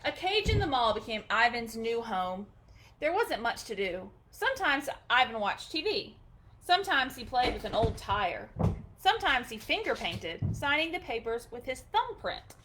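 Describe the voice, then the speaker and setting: A woman's voice: on the high side, sing-song, normal speed, fairly bright tone, slight background noise. A woman hosting a storytime, reading a picture book aloud expressively for children, with short chatty asides.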